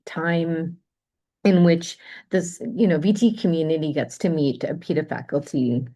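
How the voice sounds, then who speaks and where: A woman's voice: deep voice, steady tone, measured pace, dark tone. A woman directing a campus center, introducing a guest in a chatty, hesitant way with many fillers. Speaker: center director, event host. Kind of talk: welcoming introduction.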